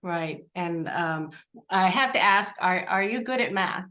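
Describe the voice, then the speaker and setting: A woman's voice: fairly low pitch, expressive, a little slow, fairly bright tone. A woman interviewing someone informally, with somewhat hesitant phrasing. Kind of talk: informal interview with questions and remarks.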